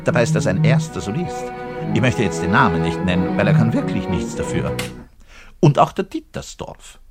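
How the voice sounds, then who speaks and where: Self-pitying orchestra musician, southern German accent, low-pitched, highly animated intonation, cranky, opinionated, colloquial radio-play monologue. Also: male voice, moderate pace, loud music behind the voice. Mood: exasperated complaining. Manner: ranting, colloquial, swinging from outbursts to muttered resignation. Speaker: actor in a one-man radio monologue.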